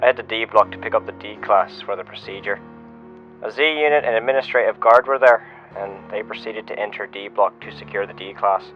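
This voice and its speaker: A man playing a shaken security officer, giving a measured first-person incident report as a scripted audio log. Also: on the low side, natural voice. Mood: grave, measured. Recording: muffled lo-fi radio-style sound, music behind. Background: music.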